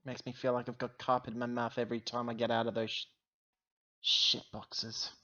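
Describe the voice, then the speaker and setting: Man playing a blunt, sharp-voiced female space agent, muffled. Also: medium pitch, completely flat delivery, normal speed, natural voice. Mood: dry, grumbling. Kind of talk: in-character tabletop sci-fi roleplay.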